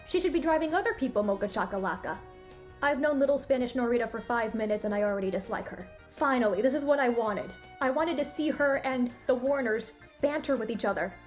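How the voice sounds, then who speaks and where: High-voiced woman reviewer over music. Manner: candid, critical, conversational. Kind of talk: opinionated cartoon review commentary. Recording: music bed behind the voice. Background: background music.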